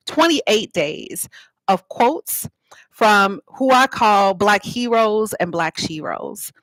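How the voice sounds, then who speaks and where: A woman's voice: medium pitch, dry recording, low-quality recording. A woman talking informally to livestream viewers as an author and host, explaining things and inviting them to respond. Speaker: author and host of a live read-aloud.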